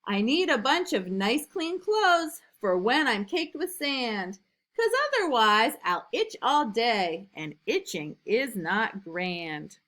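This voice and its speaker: A woman reading a rhyming storybook as an eager, imaginative little boy, in an emphatic sing-song with drawn-out line endings. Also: on the high side, big pitch swings, a bit bright, heavily acted. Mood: eager, excited. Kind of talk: rhyming storybook read aloud.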